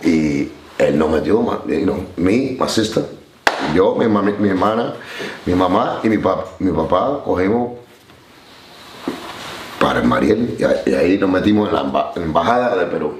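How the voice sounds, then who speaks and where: A Cuban man in his forties with a Cuban Spanish accent, telling stories in a relaxed way and mixing in English slang. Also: low voice, moderate pace, roomy sound, noisy background. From Cuba. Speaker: interview guest. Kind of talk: storytelling interview. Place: studio set, seated on couch.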